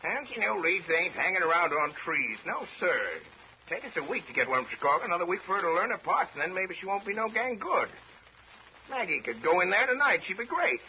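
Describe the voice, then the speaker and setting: An actor playing an excitable, folksy showboat captain, blustering and pitching like a huckster in 1930s radio style. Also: male voice, fairly quick. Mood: blustering, pleading. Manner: blustering, folksy, showman's patter. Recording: noisy, dull 1930s radio recording.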